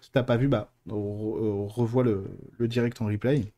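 A man with a relaxed, mellow voice hosts a live stream and answers viewers. He talks informally and colloquially, wandering into digressions as he goes. The delivery is chatty and unscripted. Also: lowish voice, moderate pace. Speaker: host of a live stream on mediumship and clairvoyance. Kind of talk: casual live talk answering viewer questions.

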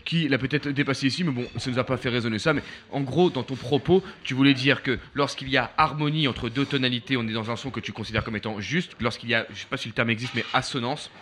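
A man's voice: mid-range voice, fairly even intonation, quick pace, hardly any pauses, fairly bright tone, slight background noise. A man hosting a group discussion, speaking informally as he mediates and rephrases what others say. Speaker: host.

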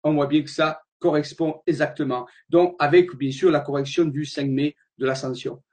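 High-voiced man giving a meandering lecture. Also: steady tone, moderate pace, a little mellow. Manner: meandering, earnest, many repeated words. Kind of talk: slide-show lecture.

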